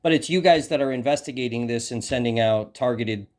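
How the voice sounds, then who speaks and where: Male journalist, American, mid-pitched, pressing with challenging questions in hesitant, broken phrasing. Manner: halting, hesitant phrasing with repeated words; persistent, challenging. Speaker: independent journalist. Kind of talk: questioning an official in a phone interview.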